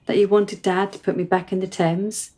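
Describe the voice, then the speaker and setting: A woman's voice: medium pitch, little pitch movement, moderate pace, warm tone, natural voice. Woman playing a precocious, forthright young girl. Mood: insistent. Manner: articulate, formal wording, insistent. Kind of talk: radio play acting.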